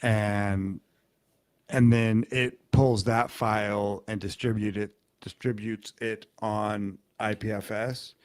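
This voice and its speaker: A low-voiced man co-hosting a podcast. He talks very slowly and explains at length, stopping and restarting often. The sound is dry, with no room echo. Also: steady tone. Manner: rambling, halting explanations. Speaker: podcast co-host. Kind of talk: casual chat.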